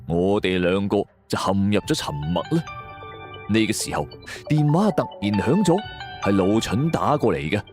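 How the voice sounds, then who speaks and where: A man's voice: on the low side, sing-song, moderate pace, darkish tone. A man narrating a scripted suspense story in a dramatic way. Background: background music.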